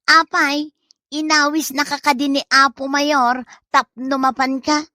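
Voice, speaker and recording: A woman's voice: sits high, little pitch movement, a little slow, bright, forceful tone, dry recording, clean studio sound. A woman playing a suspicious, nagging wife in an Ilocano comedy drama, scolding and exclaiming. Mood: exasperated scolding. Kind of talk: comedy radio-style drama acting.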